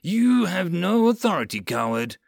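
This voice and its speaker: A man voicing a stubborn, hot-headed male lieutenant in a military fantasy audiobook, shouting orders and accusations in a shrill, panicky way. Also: very animated, brisk, no room sound, clean studio sound. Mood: outraged.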